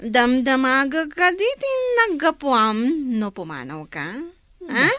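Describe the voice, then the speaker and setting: A defiant young wife played by an actress in a radio-style family drama, with a very high, swooping voice. She taunts insolently, drawing out her questions slowly and mockingly. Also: big pitch swings, sharp tone, heavily acted. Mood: taunting, defiant.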